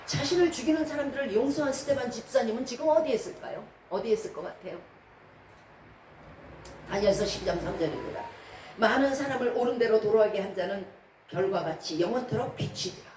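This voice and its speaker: A woman's voice: fairly high pitch, a little mellow. A woman preacher delivering a didactic sermon, posing rhetorical questions to her congregation. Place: church hall during a service. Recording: echoey room, distant mic, background noise.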